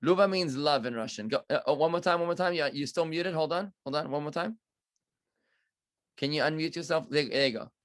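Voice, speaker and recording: A male rabbi hosts a question-and-answer session over a video call. He sounds upbeat and encouraging. His voice sits slightly higher than most men's, and the sound is dry and close. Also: brisk, a bit bright, no echo. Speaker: rabbi, event host.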